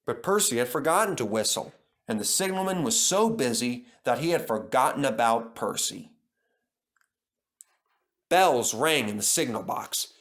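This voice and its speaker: A man narrates a children's story with a bright, forceful tone. His reading is expressive and dramatic, swinging widely in pitch.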